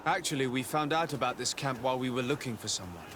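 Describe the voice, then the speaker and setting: Man playing male noble envoy, courteous, formal, probing, medium pitch, game acting, clear background noise. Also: expressive, quick pace, natural voice. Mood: polite. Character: polite, inquisitive male noble diplomat. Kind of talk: video game cutscene acting. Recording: outdoor ambient noise throughout.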